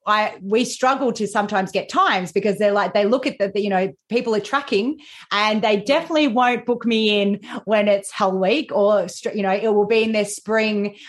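Australian woman, podcast host, chatty, enthusiastic, restarts sentences, mid pitch. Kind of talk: casual podcast interview.

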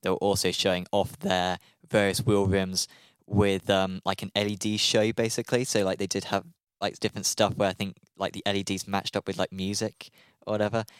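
A man co-hosting a racing podcast in casual chat, relaxed and hesitant with many fillers. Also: sits low, a little fast, clean tone, no echo. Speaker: podcast co-host. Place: studio.

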